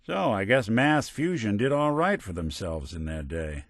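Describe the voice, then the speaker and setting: A low voiced weary robot gumshoe for a game, dry wisecracks, tone moves around a lot, super dry recording. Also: male voice, sing-song, normal speed, dry recording. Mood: wry, sardonic. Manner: wry, dry, sardonic, old-time tough-guy phrasing. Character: world-weary wisecracking male synth robot detective, middle-aged. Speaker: video game voice actor. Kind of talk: scripted game companion dialogue, noir detective.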